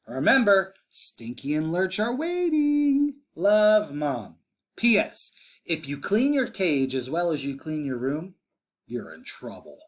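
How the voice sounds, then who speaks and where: A skeptical, patient mother read out by a man from a storybook. The delivery is wry and measured, with lively rises and falls in pitch. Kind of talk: storybook read-aloud for children.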